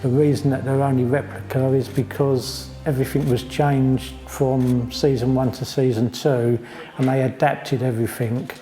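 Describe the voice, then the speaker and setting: A man's voice: mid-range voice, level tone, normal speed, warm tone, faint music behind, rough recording. A man in his fifties explaining a costume collection in an interview. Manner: plain, explanatory. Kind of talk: casual interview.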